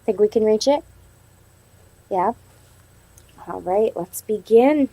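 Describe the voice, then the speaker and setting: A woman's voice: medium pitch, animated, takes it slowly, warm tone, no room sound, rough recording. A woman teaching young children in a read-aloud lesson, prompting them with simple questions and reading in an instructional way. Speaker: elementary teacher.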